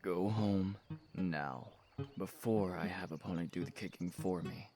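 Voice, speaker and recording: A man voices a guarded young fantasy hero in an audio play. His low voice is curt and dry, stern and protective with a quietly threatening edge, over clearly audible music. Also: a little slow. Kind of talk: fantasy audio drama acting.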